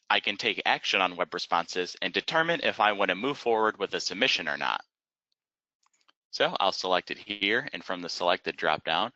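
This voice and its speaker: A man narrating an instructional webinar as a software trainer, explaining methodically step by step in a close, dry, slightly dull recording. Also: on the low side, dry recording.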